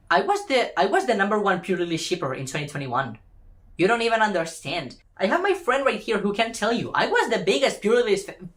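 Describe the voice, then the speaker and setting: A woman's voice: mid-range voice, a bit bright. A woman delivering an impassioned opinion rant in an emphatic, exasperated way, repeating phrases for effect and swearing casually. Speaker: fan commentator.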